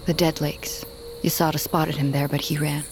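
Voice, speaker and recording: Restrained huntress, fairly low voice, an actress. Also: female voice, little pitch movement, moderate pace, background noise, close to an everyday voice. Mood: guarded concern. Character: guarded, blunt huntress of a wolf tribe. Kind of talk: audio fiction drama acting. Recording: outdoor ambience with crickets and insects.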